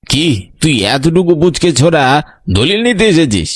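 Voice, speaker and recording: A man playing a greedy, short-tempered older brother, the gruff, contemptuous villain of a horror audio story. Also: on the high side, animated, normal speed, dry recording, clean studio sound. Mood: dismissive, angry.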